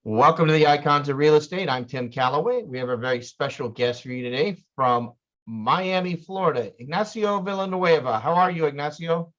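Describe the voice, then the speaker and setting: A man's voice: a little high, animated, brisk, a bit bright, faint music behind. A man hosting a podcast interview, asking friendly, rambling questions with fillers. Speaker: podcast host. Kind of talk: casual interview. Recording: dull lo-fi audio.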